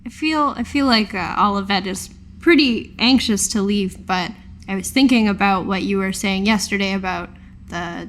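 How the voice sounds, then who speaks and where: A woman's voice: medium pitch, natural voice. A woman playing an earnest, humble tower keeper in a tabletop game, searching for words as she talks.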